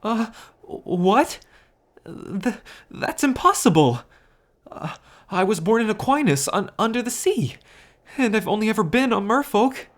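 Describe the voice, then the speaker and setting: A timid young merman newly turned dragon, acted out by a man in a fantasy audio roleplay. His voice sits high for a male, and he stammers in hesitant bewilderment. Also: big pitch swings, unhurried. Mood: confused, overwhelmed. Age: young adult. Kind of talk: ASMR story roleplay acting.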